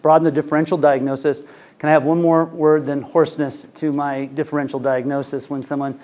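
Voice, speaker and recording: A man's voice: fairly high pitch, level tone, fairly quick, darkish tone. A man in his forties, an ENT physician giving a conversational lecture to clinicians, speaking into a handheld microphone in a conference room. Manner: conversational, anecdotal, frequent fillers.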